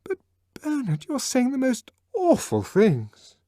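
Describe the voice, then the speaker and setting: A man voicing a bright, fretful young woman. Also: huge pitch swings, dry recording, studio quality, exaggerated voice. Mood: cheerful, insistent. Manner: pleading, high and bright, quickly upset. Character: young woman, cheerful, conventional, easily distressed. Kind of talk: audiobook character voice.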